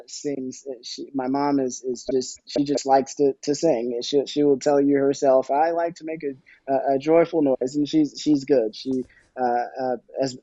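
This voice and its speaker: Mellow middle-aged man, slightly muffled audio. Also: medium pitch, dry recording. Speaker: podcast guest. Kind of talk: conversational interview.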